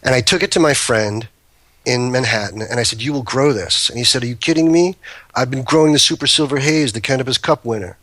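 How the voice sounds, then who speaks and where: Man guest, bright, forward voice, dry close studio sound, rambling storyteller who stops and restarts. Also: medium pitch, a little fast, no echo. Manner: rambling, self-interrupting anecdotes. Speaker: guest, cannabis breeder. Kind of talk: casual storytelling chat.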